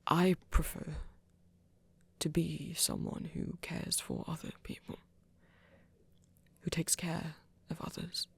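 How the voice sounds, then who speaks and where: Female actor as shy romantic vampire, gravelly low murmur, breathy, halting, gentle, ASMR roleplay. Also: wildly swooping pitch, full character voice. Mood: tender, hesitant. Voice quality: breathy, husky, half-whispered. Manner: halting, gentle, careful phrasing. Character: flirty, tender vampire shyly confessing love to a hunter.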